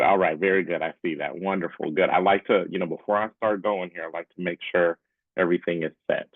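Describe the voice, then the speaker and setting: A man presents an informal online session in a low-pitched voice. He is conversational and keeps checking that listeners follow. The sound is narrow and phone-like, close and dry, as from a video call. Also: no room sound. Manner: conversational, often checks in with "right?". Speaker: presenter, public affairs specialist. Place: small room at home, indoors. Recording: muffled phone-like webcam audio.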